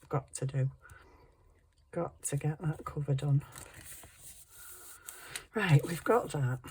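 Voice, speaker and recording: A hoarse middle-aged woman chatting casually to herself while crafting, muttering and poking fun at herself. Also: deep voice, slow, a little mellow, slight background noise. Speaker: craft channel host.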